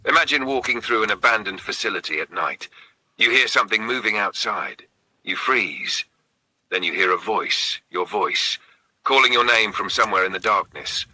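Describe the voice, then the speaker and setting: Guy doing spooky scripted narration, lowish husky voice w really sharp edge, suspenseful pauses. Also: animated, dry recording, low-quality recording. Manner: suspenseful, short phrases with dramatic pauses. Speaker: narrator of a horror storytelling channel.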